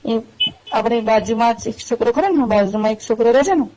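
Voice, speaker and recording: A dull phone-call recording of a worried middle-aged Gujarati aunty acted by a woman. Her voice is fairly high and mellow. She pleads haltingly and repeats herself, clinging to her secret lover. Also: a little hiss or hum, close to an everyday voice. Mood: uneasy confiding.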